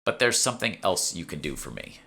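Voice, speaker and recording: An impatient, defiant heroine played by a man makes curt demands in audiobook dialogue. The voice is bright and forceful, with lively swings in pitch. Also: a little fast, creaky, heavily acted. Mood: demanding. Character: pushy, impatient woman adventurer, sharp and defiant.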